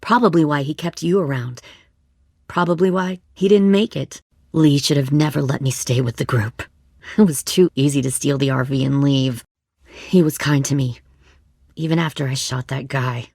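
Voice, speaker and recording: A woman acting a hardened, menacing leader of raiders in a zombie video game, speaking in a cold, coercive, deliberate way. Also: deep voice, a little mellow, no room sound, studio quality. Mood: bitter, menacing.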